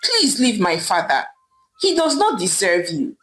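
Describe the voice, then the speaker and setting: A woman's voice: mid-range voice, huge pitch swings, measured pace, fairly bright tone, low-quality recording. A woman voicing a passionate young man with a Nigerian accent, earnestly persuading his lover. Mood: passionate, pleading. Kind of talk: storytelling character voice.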